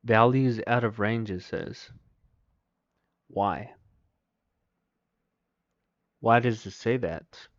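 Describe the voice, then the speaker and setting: Slow-talking man streaming with a Mexican accent. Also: fairly low pitch, dry recording, lo-fi sound. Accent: Mexican Spanish. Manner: thinks aloud, mutters to himself, reads chat aloud. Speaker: livestreamer. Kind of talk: casual live commentary while installing software.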